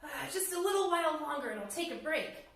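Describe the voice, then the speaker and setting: A woman's voice: lively intonation, quick pace, fairly bright tone. A woman voicing an honest, humble male woodcutter in a storybook tale, earnest and easily flustered. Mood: weary. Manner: earnest, high-pitched, flustered. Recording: very echoey room sound.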